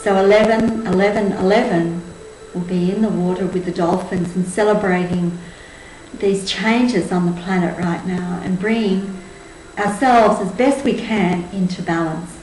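A woman in her sixties giving a measured, earnest spiritual talk to camera. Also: mid-range voice, steady tone, darkish tone. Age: 60s. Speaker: spiritual group tour leader and presenter. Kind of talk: spoken presentation to camera. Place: indoors, small room with cloth backdrop. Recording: roomy echo, faint background noise.

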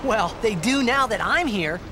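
A man's voice: high voice, lively intonation, a little slow, noisy background. An actor playing a boyish young male athlete in a video game, cocky yet awkward, with casual, hesitant phrasing. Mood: cocky, curious. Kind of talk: video game cutscene acting. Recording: music behind the voice.

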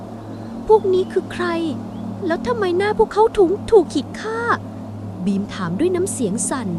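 Woman storyteller, high voice, dramatic scripted ghost-story narration, clearly noisy ambient backdrop. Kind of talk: scripted narration of a spooky bedtime horror tale. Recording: clear background noise, ambient rumble.

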